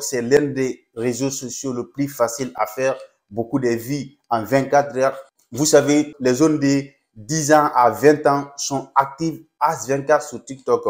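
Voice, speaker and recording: A man's voice: mid-range voice, darkish tone. A young man, not a native French speaker, speaking as a content creator in a casual tutorial vlog with an encouraging pep-talk tone. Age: young adult. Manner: pep-talk tone, addresses viewers as "les gars".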